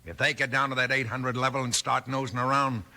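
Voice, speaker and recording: Casting: hot-headed, threatening American thug, sharp gravelly voice, 1950s radio western with surface crackle. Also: male voice, medium pitch, flat delivery, a little fast. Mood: sullen. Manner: surly, threatening. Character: brutish, hot-headed male henchman and killer.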